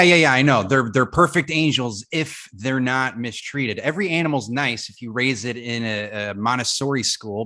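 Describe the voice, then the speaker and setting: A man's voice: mid-range voice, pushed and bright. Sarcastic, grumbling young man read by an actor. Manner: sarcastic, stammering. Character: grumbling sarcastic man in his twenties, dog-wary roommate. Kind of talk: comedy table read dialogue.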